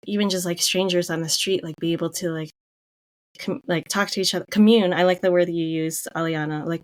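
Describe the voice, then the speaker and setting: A young woman from Connecticut chats on a book club podcast, her voice sitting low and recorded close and dry. She talks loosely, fills her sentences with "like", and laughs easily. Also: no room sound. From Connecticut, USA. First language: English is her third language. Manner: chatty, many "like" fillers, laughs easily. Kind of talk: casual chat.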